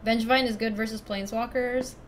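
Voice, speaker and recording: Chatty, giggly woman streamer, fairly high voice. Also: fairly even intonation, brisk, a little hiss or hum. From Ecuador. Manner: chatty, giggly, thinks aloud to chat. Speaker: streamer playing a card game. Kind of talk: casual live-stream commentary.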